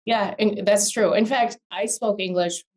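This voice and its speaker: A woman's voice: mid-range voice, steady tone, unhurried, darkish tone. A woman in her forties, born in Vietnam and raised in the US, talking as an attorney guest in a casual interview, thoughtful and full of fillers and restarts. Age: 40s. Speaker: guest, real estate attorney.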